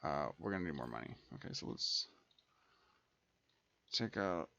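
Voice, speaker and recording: A man's voice: a bass voice, expressive, moderate pace, gravelly, sharp tone, dull audio. A man giving casual let's play commentary as a gaming host, thinking aloud and muttering to himself.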